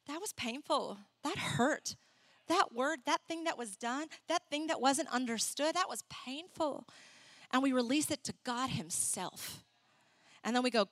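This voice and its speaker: A young adult woman who grew up in Ecuador, preaching and telling her own story in a candid, animated way. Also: sits high, dry recording. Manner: animated, candid storytelling with laughs and acted asides. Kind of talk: sermon with personal story.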